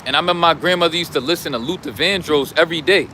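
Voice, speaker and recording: Young man, high bright voice, blunt slangy coaching talk, faint street noise behind. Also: racing. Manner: blunt, preachy, heavy slang and profanity. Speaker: life coach. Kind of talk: casual Patreon talk.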